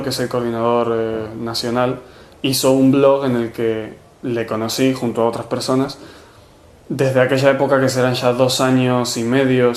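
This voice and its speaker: Argentine man, flat and hesitant. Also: medium pitch, reverberant. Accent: Argentine Spanish. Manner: hesitant, many fillers and restarts. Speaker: movement organizer. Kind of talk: interview answers.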